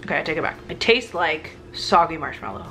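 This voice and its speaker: A woman's voice: low voice, big pitch swings, a little fast, fairly bright tone, soft background music. A woman in her thirties, a food vlogger, talking casually and candidly about her groceries in her home kitchen to a camera close in front of her. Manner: candid, chatty, frequent "like" fillers. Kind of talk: casual grocery haul chat. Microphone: camera on a counter, close framing.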